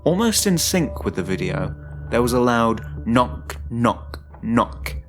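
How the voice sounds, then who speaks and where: A man giving a dramatic, suspenseful first-person narration of a horror story, in a deliberate manner. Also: mid-range voice, big pitch swings, fairly bright tone. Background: music.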